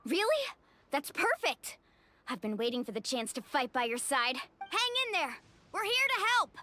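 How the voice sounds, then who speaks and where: A woman's voice: on the high side, big pitch swings, normal speed, fairly bright tone, slight background noise. A woman voicing a brave, spirited young warrior in a video game, upbeat, confident and encouraging. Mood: eager, encouraging.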